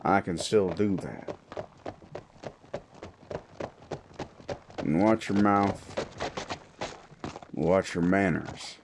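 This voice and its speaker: Man playing a slow, gruff cowboy bounty hunter. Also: low voice, steady tone, noisy background. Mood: wary, firm. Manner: folksy drawling, threatening yet wry. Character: gruff, world-weary male Western bounty hunter cowboy. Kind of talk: improvised Western audio roleplay. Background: guitar music.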